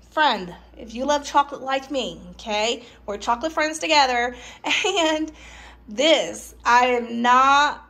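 A woman's voice: sits high, fairly bright tone. A woman in her thirties chatting casually and in a friendly way to her viewers as a vlogger. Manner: chatty, friendly, frequent small laughs. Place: home kitchen, indoors.

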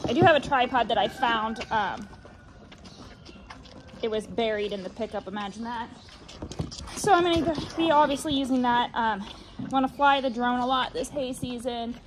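High-voiced young woman farmer, phone vlog. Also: normal speed, long pauses. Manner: chatty, talks to the camera while doing chores. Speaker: farmer and vlogger. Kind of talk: casual vlog narration. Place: outdoors on a cattle farm. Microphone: handheld phone camera. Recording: outdoor phone audio with farm noise.

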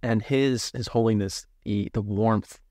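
A young man in his twenties talks things through slowly, pausing and restarting as he searches for the right words. His voice sits low, and the studio sound is close and dry with no room echo. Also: steady tone, darkish tone. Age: 20s. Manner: thoughtful, hesitant, searching for words. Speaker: seminarian guest. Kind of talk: reflective interview talk.

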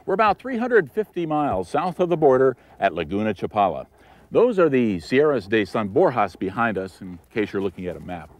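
A man's voice: medium pitch, big pitch swings, fairly quick. A young man hosting a 1970s adventure show, introducing the trip in a friendly, informative way. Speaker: show host. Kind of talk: presenter introduction. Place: outdoors on a dry lake bed.

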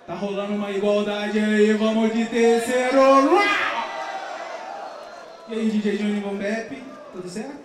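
High-voiced man hyping a loud crowd. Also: steady tone, a little slow, background noise. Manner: loud, rowdy, slangy, calls to the crowd. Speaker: battle host. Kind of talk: hyping and counting crowd votes. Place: outdoor stage under an overpass, big crowd. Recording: echoey open-air PA, distant mic, loud crowd.

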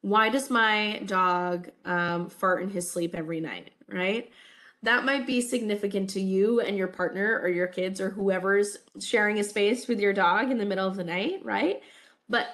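A woman's voice: mid-range voice, normal speed, a bit bright. A woman in her thirties giving a recorded college English lecture over webcam, stuffy from a cold, friendly and full of "um" fillers. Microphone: webcam over slides.

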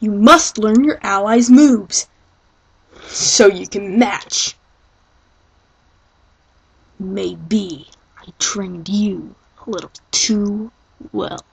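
Woman as a slow, husky villain cat mentor. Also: medium pitch, exaggerated voice, rough recording. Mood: cool, instructive. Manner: instructive, deliberate. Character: cocky but wiser male villain cat mentor.

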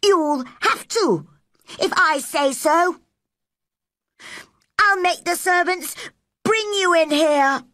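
A woman's voice: very high-pitched, slow, pauses often, pushed and bright, no room sound, clean studio sound, pitched above the performer's own voice, full character voice. A woman voicing a spoiled, sickly young boy in a storybook reading, imperious, whiny and full of self-pity. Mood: petulant, demanding. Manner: whiny, demanding.

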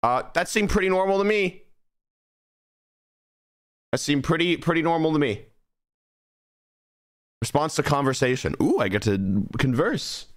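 A man's voice: a little high, lively intonation, unhurried, long pauses. A young man streaming a horror game, giggling and making wry comments back at the screen.

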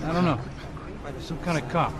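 An actor playing a friendly security colleague, talking casually and reassuringly in a game cutscene. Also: male voice, on the high side, moderate pace, background noise, natural voice. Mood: reassuring, tired. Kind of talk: dramatic game cutscene dialogue.

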